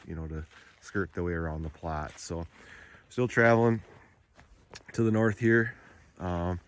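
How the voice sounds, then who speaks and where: A sick man whose voice sounds nasal, giving a relaxed, rambling narrated tour as a landowner. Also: deep voice, a little hiss or hum. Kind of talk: casual narrated drone tour.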